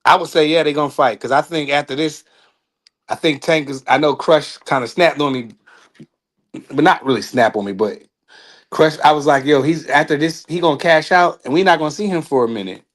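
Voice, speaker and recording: A man's voice: a little high, fairly quick. A man co-hosting a casual boxing talk show, speaking loosely and rambling. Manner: loose, rambling, trails off with laughs. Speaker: co-host. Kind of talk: casual sports chat.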